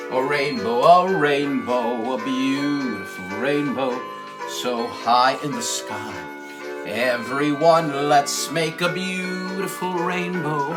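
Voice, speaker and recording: Male children's entertainer, slow, exclamatory, repetitive sing-along patter, music behind. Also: on the high side. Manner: exclamatory, encouraging, repeats phrases. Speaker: children's puppet show host and singer.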